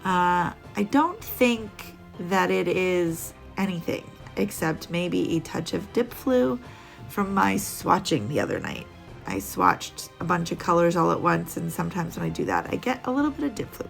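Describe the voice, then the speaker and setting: A woman's voice: lowish voice, very animated, normal speed, vocal fry, soft background music. A woman narrating a casual nail art tutorial, chatty and rambling with little laughs, a bit sniffly.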